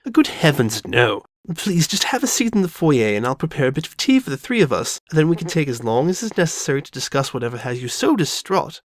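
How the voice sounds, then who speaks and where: A woman's voice: low-pitched, big pitch swings, a little fast, few pauses, no room sound, studio quality. Woman voicing a genteel, swooping lady pony shopkeeper. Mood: concerned. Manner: genteel, effusive, dramatic. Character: refined, gracious, theatrical lady pony shopkeeper. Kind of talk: all-dialogue fan audio drama.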